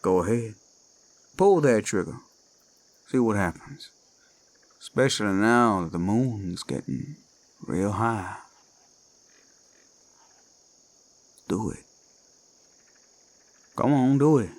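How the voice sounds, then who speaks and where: A man voicing a menacing Cajun werewolf of the swamps, with a Cajun accent and a slow, taunting drawl. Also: fairly low pitch, animated. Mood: goading. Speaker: villain in audio drama. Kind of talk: horror audio roleplay. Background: faint eerie music and crickets.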